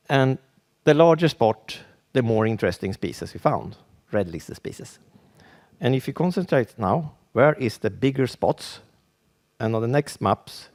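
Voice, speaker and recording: A Swedish man with a Swedish accent, giving a conference presentation in English as a second language, halting and full of restarts. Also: medium pitch, creaky, a little mellow. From Sweden. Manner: halting, with frequent restarts and fillers. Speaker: conference speaker.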